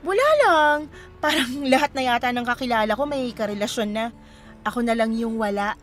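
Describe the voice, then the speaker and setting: An actress as a young woman in a radio romance drama, with a high voice and a coy, teasing manner. She speaks expressively, like a shy girl with a crush, over faint background music. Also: fairly quick, natural voice. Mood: quietly disappointed. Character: young single woman shyly smitten with a travel acquaintance.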